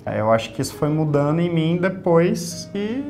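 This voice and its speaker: A man in his thirties with a São Paulo Brazilian accent, talking casually in an interview with lively gestures and frequent tag questions. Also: on the high side, moderate pace, soft background music. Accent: Brazilian Portuguese, São Paulo. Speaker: YouTube creator. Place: small office studio.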